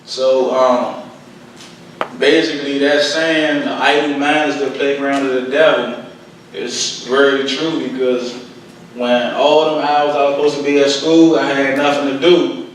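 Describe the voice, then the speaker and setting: A man's voice: medium pitch, level tone, measured pace. A man with a Washington DC accent speaking plainly and colloquially to an audience as a guest poet and speaker, recorded from a distance in a very echoey room with faint noise. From Washington DC, United States. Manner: plain-spoken, colloquial storytelling. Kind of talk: poem recital and personal testimony to an audience. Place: echoing venue at a conference.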